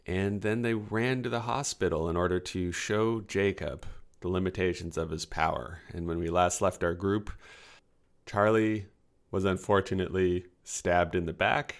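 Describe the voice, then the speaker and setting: A man reading a scripted recap as the announcer introducing a story episode. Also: sits low. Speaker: show announcer.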